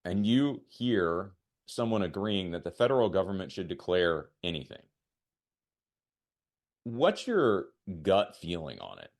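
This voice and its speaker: Low-voiced American man hosting a podcast. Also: big pitch swings, a little slow. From United States. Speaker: podcast host.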